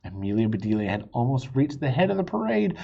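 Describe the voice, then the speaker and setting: A man reading a children's story aloud in a playful, expressive way, with a husky voice. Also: medium pitch, fast talker, muffled recording. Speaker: storybook reader.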